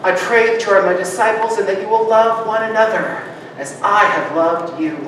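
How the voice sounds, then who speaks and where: A man preaching a sermon as pastor, moving between loud rousing calls and soft hushed reflection, joking easily with his congregation. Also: sits high, normal speed. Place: church sanctuary. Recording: distant mic, strong room echo, background noise.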